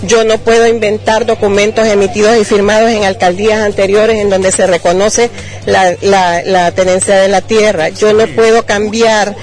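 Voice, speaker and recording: A woman interviewed outdoors, calmly and firmly defending her claim in detail. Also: mid-range voice, normal speed. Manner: firm, detailed, self-defending. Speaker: landowner's granddaughter. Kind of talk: street news interview.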